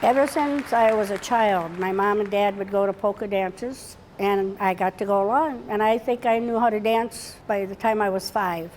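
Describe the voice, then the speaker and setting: A woman's voice: mid-range voice, normal speed, few pauses, darkish tone, some chatter behind, slight background noise. A woman who dances polka, fondly remembering dancing since childhood in an interview. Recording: roomy hall from a distance.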